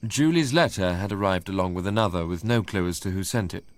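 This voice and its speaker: An actor as a brooding, low-voiced young Englishman narrating. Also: no room sound, close to an everyday voice. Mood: intent, troubled. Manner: literary, measured storytelling. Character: brooding, proud young Englishman recounting his ordeal. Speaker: first-person narrator. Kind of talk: dramatic radio drama narration.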